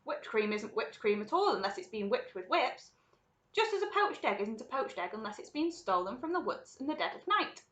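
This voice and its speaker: A woman voicing an exuberant, eccentric man who owns a chocolate factory, bossy and excitable as he calls out orders in a storybook reading. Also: extremely high pitch, roomy sound, dull audio, exaggerated voice. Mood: emphatic, impatient. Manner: excitable, brisk, commanding.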